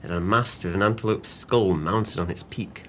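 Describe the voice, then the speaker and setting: A man's voice: sits low, normal speed, a bit bright, slight background noise. A man playing a drunken, dreamy, gullible former sailor in an audio play, earnest and whimsical.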